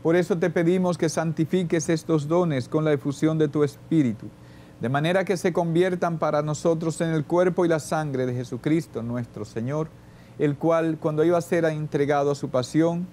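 An older man, a priest, reciting the Mass prayers at the altar in a solemn, measured way. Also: a little high, fairly even intonation, dark tone, a little hiss or hum. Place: church sanctuary, at the altar.

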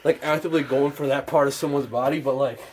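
A man's voice: mid-range voice, fairly even intonation, fast, a little mellow. A young man who is an amateur boxer, in casual, sweary, giggly banter. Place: basement used as makeshift boxing gym. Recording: noisy basement, mic clicks.

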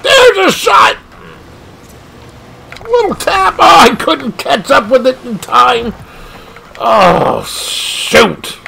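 Man, gaming streamer, high lively voice, gravelly edge, bright tone, exclaiming at his own plays. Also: very slow, long pauses, slight background noise. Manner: animated, exclaiming, frustrated at missed shots. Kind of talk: excited gameplay commentary. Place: home gaming room.

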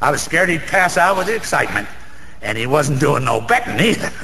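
An actor playing a boozy, sentimental, boastful small-time gambler in a radio drama, rambling in old-fashioned street slang with a gravelly voice. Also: male voice, on the high side, sing-song, brisk, sharp tone, full character voice, low-quality recording. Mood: boastful, fond. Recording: faint background noise.

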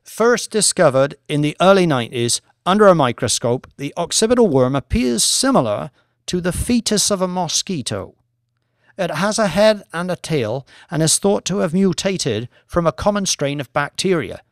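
An earnest scientist played by a man delivers research in a formal, measured lecture for an audiobook. The words are clinical and deliberate, recorded close and dry. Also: fairly high pitch, sing-song, dry recording, pitched above the performer's own voice.

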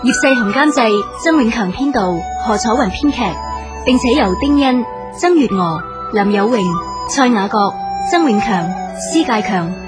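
High-voiced woman announcer over loud music. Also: lively intonation, normal speed. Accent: Hong Kong Cantonese. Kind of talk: scripted radio credit announcement.

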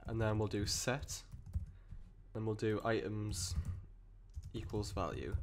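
A man with a cold and a croaky voice, explaining code step by step in a rambling way with many fillers and restarts. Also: fairly low pitch, slow, slight background noise. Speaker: programming tutor. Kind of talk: screen-recorded coding tutorial.

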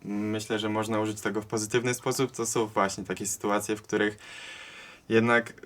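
A man's voice: fairly low pitch, flat delivery, normal speed, a bit bright. A young man giving his reflections in a considered, explanatory manner. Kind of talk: reflective discussion.

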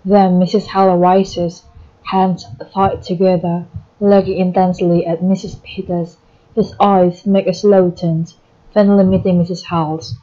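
A woman narrates a play script in a mellow, slightly low voice. The recording is a little dull. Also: level tone, unhurried. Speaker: narrator reading stage directions. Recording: dull, muffled audio.

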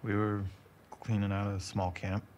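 An actor playing a worn-out young male soldier who talks low and quiet, often close to a whisper, in a video game cutscene. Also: monotone, unhurried, slight background noise, natural voice. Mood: weary. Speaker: game character. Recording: game audio with music score.

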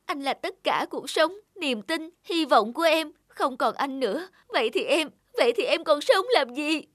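A woman's voice: high-pitched, lively intonation, normal speed, a bit bright, dry recording, studio quality. A woman playing an earnest, heartbroken young woman in a cartoon, speaking her thoughts with emotion. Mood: pleading, despairing. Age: young adult. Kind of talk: cartoon dialogue and inner monologue. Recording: music under the voice. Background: music.

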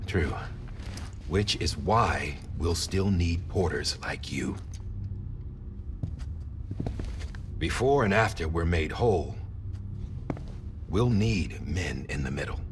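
An actor playing a commanding, earnest leader in a video game, briefing his porter in a deliberate, emphatic, authoritative way. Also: low-pitched, animated, background noise. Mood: assured, matter-of-fact. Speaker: mission commander. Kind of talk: cinematic video game exposition.

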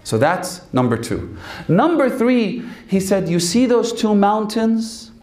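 A man in his thirties delivers a sermon as a story, his voice sitting fairly high. He sinks lower and slower when he quotes others. The hall gives his voice a clear echo. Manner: animated storytelling, quoting in a lowered voice. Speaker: preacher. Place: hall with red banner. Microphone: stand mic in front. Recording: echoey hall.

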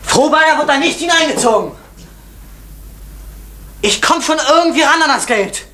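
A man's voice: very high-pitched, animated, normal speed, bright, forceful tone, full character voice. An actor playing a stubborn, conscientious young man in an East German radio drama, speaking with emphatic defiance. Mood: defiant. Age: young adult. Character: stubborn, conscientious young postal worker and son. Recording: roomy, noisy old radio drama recording.

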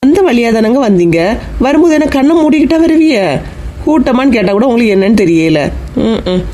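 A woman voicing a sharp-tongued, affectionate young village wife and mother in a comedy cartoon, bantering in Kanyakumari Tamil dialect. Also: fairly high pitch, sing-song, a little fast, slight background noise. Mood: bossy.

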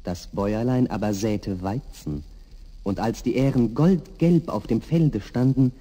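Mellow, husky male fairy-tale narrator. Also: medium pitch, measured pace, in a large hall. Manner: expressive storytelling. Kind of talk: scripted fairy-tale narration, 1960s audio play.

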